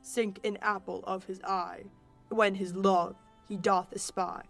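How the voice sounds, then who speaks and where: A stern fairy king voiced by a female in her late teens gives orders in imperious verse. The pitch is middling, in the manner of an amateur Shakespeare radio play. Faint music plays underneath. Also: level tone, a little slow, gravelly, a bit bright. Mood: commanding, incantatory. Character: commanding, stern fairy king casting love spells.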